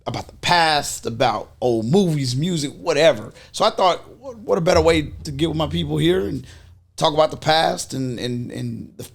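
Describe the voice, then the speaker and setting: Male co-host, informal and joking, fairly high-pitched with plenty of fry, for casual panel chat. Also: animated, moderate pace. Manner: informal, jokey, profane. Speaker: podcast host. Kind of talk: casual group podcast chat.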